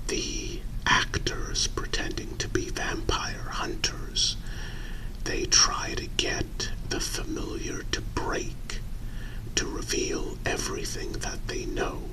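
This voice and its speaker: A man playing a calm, discreet vampire in a whispered ASMR role-play, speaking in deliberate, halting, measured phrases. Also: low-quality recording.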